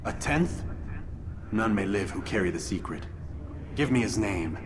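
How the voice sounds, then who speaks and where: A man's voice: lowish voice, lively intonation, a little slow, noisy background. A man playing a stern, stoic young medieval assassin in a video game, speaking curtly and formally. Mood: demanding, disbelieving. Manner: curt, solemn, formal. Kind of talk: dramatic video game cutscene acting.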